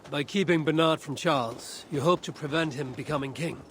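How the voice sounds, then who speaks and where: A man's voice: on the high side, fairly quick, a bit bright, a little hiss or hum, lightly acted. A man playing a blunt, watchful Viking warrior who speaks in direct, terse questions in video game dialogue. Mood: suspicious, direct. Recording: music behind the voice.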